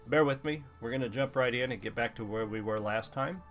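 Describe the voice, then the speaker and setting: Stuffy, slightly hoarse gaming host. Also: male voice, medium pitch, fairly quick. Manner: rambling, relaxed, many ums. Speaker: let's play host. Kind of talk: casual gameplay commentary. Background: faint game music.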